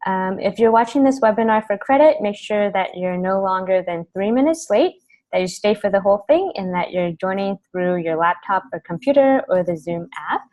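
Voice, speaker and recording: A woman's voice: mid-range voice, animated, normal speed, a little mellow, rough recording. A young woman, an advisor, hosting a webinar casually with many fillers over a video call. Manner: casual, friendly, filler-heavy.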